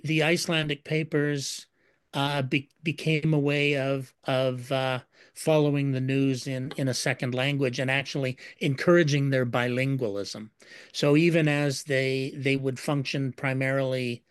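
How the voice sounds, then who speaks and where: A man's voice: medium pitch, smooth, no room sound. Guy explaining history in an interview, lots of uh's and restarts, mid voice, dry sound. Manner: measured, many fillers and repeated words.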